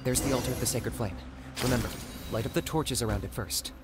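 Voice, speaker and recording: An actor playing a cool, pragmatic young warrior in a video game, terse, composed and matter-of-fact. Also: male voice, mid-range voice, fast, close to an everyday voice. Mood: focused. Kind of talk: video game character acting. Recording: loud game music behind.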